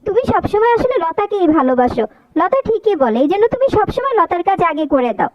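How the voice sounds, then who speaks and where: A woman's voice: very high-pitched, big pitch swings, fairly quick, dry recording, dull audio. A woman voicing several characters in a dubbed cartoon, delivering scolding, quarrelsome lines.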